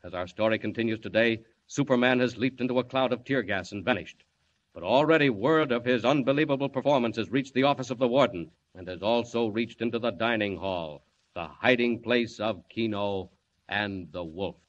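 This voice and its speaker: A man's voice: mid-range voice, moderate pace. Casting: male narrator for old-time adventure serial, dramatic delivery, mid-range voice, muffled sound. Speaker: announcer and narrator. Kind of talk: dramatic scripted 1940s radio serial narration. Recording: muffled lo-fi old radio recording.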